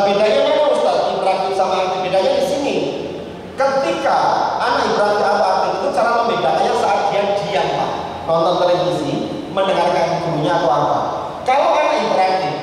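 A middle-aged man with a Javanese accent giving a public religious parenting lecture, posing questions and answering them himself. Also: high voice. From Indonesia. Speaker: Islamic preacher and psychologist giving a parenting talk. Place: large indoor hall, seated at a podium table. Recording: echoey hall, distant mic, faint noise.

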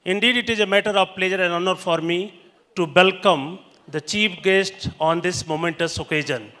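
A man's voice: sits high, normal speed, sharp tone. A middle-aged man with an Indian accent, a school principal giving a formal welcome speech into a handheld microphone with emphatic bursts. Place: large indoor school auditorium stage. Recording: echoey large auditorium PA.

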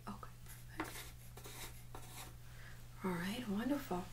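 A woman in her thirties speaking gently and very softly, often near a whisper, in an ASMR medical role-play as an examiner. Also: mid-range voice, takes it slowly, background noise. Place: small indoor room set up as exam office.

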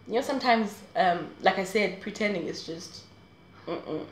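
A woman's voice: medium pitch, normal speed, roomy sound, slight background noise. A woman in her twenties talking straight to camera in a casual, rambling advice vlog, laughing often. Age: 20s. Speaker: vlogger. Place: small room indoors.

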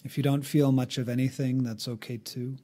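A man narrating a guided meditation in a calm, gently instructive way, leaving long pauses between phrases. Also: medium pitch.

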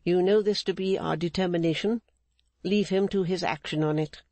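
Man doing a high, stern older woman, resolute and unbending, dry close sound. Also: fairly even intonation, a little mellow, no echo. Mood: defiant. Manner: firm, measured, defiant.

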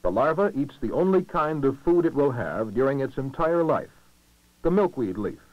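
A man reading scripted narration for a 1960s educational documentary, formal and measured, with clear enunciation. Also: mid-range voice, expressive, no room sound. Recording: muffled lo-fi 1960s film soundtrack.

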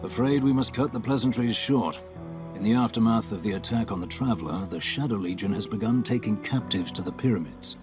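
A man's voice: fairly low pitch, a little fast, music in the background, close to an everyday voice. A man voicing a middle-aged, courteous resistance scout with a British accent and a wry, understated manner in a video game. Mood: concerned, brisk. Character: genteel, wry male resistance scout briefing a soldier.

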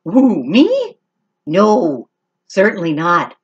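A woman's voice: fairly low pitch, huge pitch swings, very slow, slightly rough, highs cut off, exaggerated voice. A woman doing a sly little mouse.

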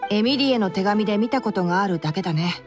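A woman voicing a calm, clever young man of scholarly temper in a Japanese game dub, composed and reasoned. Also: medium pitch, fast, natural voice. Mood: thoughtful. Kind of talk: Japanese anime game dubbing. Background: background music.

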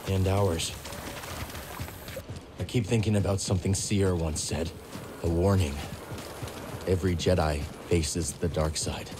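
An actor playing an earnest, troubled young warrior in a video game, speaking in a subdued, serious way. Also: male voice, deep voice, steady tone, measured pace, pauses often, background noise. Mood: somber, earnest. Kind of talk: cinematic video game acting. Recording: music behind the voice.

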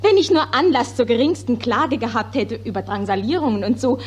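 An actress playing a polite, effusive Viennese stage star who protests and defers, in a 1940s radio play. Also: female voice, fairly high pitch, brisk, slightly rough. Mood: indignant. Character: flustered, appeasing Viennese stage actress back from Russia. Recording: old 1940s radio recording.

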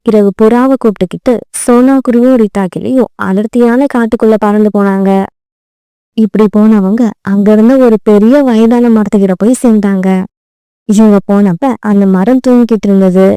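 Woman narrating kids story, mellow smooth voice, no room echo. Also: medium pitch, fairly even intonation, normal speed. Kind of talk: scripted children's story narration.

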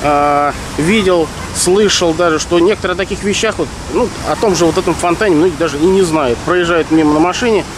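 A man's voice: on the high side, fairly quick, hardly any pauses. A man in his thirties, a real estate agent, talking casually and rambling with many fillers as he films a walking tour outdoors on a handheld camera. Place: outdoors in a city park by a busy road. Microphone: handheld camera mic at arm's length. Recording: street traffic noise behind.

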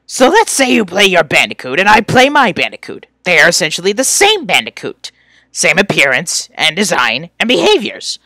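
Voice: mid-range voice, huge pitch swings, moderate pace, bright, forceful tone, no echo, heavily acted. A performer voicing an irritable, sarcastic young male troll from a webcomic, scornful and exasperated. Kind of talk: reading fan voice lines from a webcomic.

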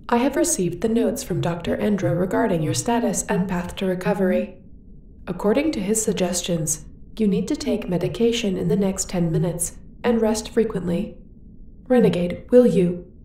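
A female spaceship computer, voiced by a woman, set back from the mic in a roomy echo. Her voice is mellow and mid-pitched, and she talks in a formal, precise deadpan, polite and utterly literal, as in a sci-fi audio drama. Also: recorded from a distance, close to an everyday voice. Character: polite, literal-minded female ship's artificial intelligence. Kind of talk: sci-fi audio roleplay acting.

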